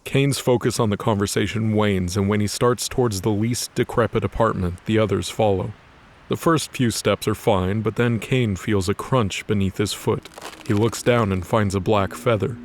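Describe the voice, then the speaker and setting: A man's voice: on the low side, steady tone, normal speed, slight background noise. A man narrating a scripted fantasy audio drama.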